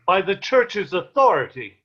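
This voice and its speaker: Man playing stern medieval churchman, high-pitched for a man, formal, commanding, dramatic table read, dull sound. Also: sing-song, moderate pace, a bit bright, heavily acted. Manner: formal, imperious. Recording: dull, lo-fi video call audio.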